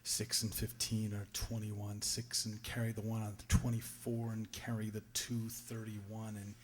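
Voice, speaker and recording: An actor playing a meek, apologetic clerk in old-time radio drama style, humble and hesitant. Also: male voice, fairly low pitch, monotone, a little slow, natural voice. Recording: echoey hall, long reverb.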